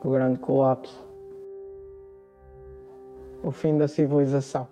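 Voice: medium pitch, level tone, slow pace, warm tone, faint music behind, close to an everyday voice. A performer with a European Portuguese accent playing a solemn narrator of a dystopian future in a radio drama. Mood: grave.

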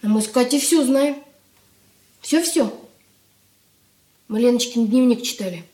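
Casting: actress as eager, naive little sister, high voice, caring and artless, Soviet radio play. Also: female voice, unhurried, warm tone, lightly acted. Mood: gossipy, confiding. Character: affectionate, naive young girl Anya, caring sister. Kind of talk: Soviet radio drama acting.

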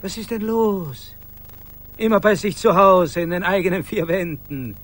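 An actor playing a polite, solicitous visiting friend in a radio play, courteous and chatty. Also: male voice, high-pitched, unhurried, a bit bright. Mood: sociable, concerned. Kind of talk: 1980s German radio play acting.

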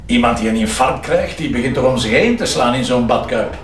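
An actor playing a blunt, cheeky police commissioner in a Flemish crime drama, speaking colloquially with a sardonic edge. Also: male voice, medium pitch, expressive, fairly quick, a little hiss or hum, lightly acted. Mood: mocking, assertive. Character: gruff, cheeky, mocking male police commissioner. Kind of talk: naturalistic Flemish radio crime drama acting.

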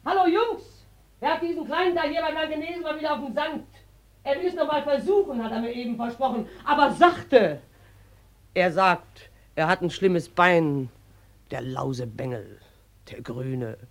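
Radio drama: rough-tongued motherly river spirit, scornful, bossy, very animated pitch. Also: moderate pace. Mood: loud, mocking. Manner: coarse, commanding, calling out. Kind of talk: German radio play acting.

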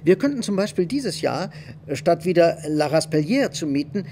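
Animated high-voiced bourgeois husband. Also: male voice, moderate pace, slight background noise. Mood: earnest, deliberating. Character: wealthy bourgeois husband, practical, discreetly charitable. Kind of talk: German radio play dialogue.

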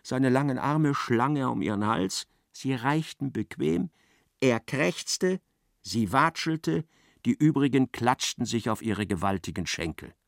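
An actor narrating a darkly comic literary radio play as an observant storyteller. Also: male voice, mid-range voice, animated, measured pace, gravelly, no room sound, clean studio sound. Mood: wry narration.

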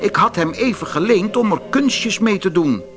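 A man's voice: sits high, expressive, normal speed, over music, voice pushed up. A man voicing a wizard disguised as a handsome young nobleman, polite and coaxing, in a fairy tale audiobook.

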